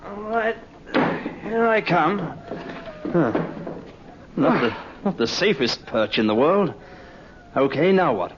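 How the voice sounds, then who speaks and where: Observant sceptical sleuth, informal, animated intonation, vintage radio acting, muffled sound. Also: male voice, a little high, slow, pauses often, slight background noise. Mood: puzzled, informal. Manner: informal, questioning. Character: sceptical, observant male investigator, inspector's informal colleague. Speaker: actor playing an investigator. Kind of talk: old radio drama acting.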